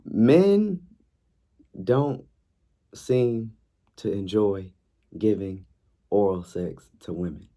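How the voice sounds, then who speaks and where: A young man vlogging in a laid-back, chatty way, sounding a bit congested and raspy from a night of yelling. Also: fairly low pitch, animated, very slow, long pauses. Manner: laid-back, chatty, frequent ums and likes. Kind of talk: casual vlog talk, reading posts aloud.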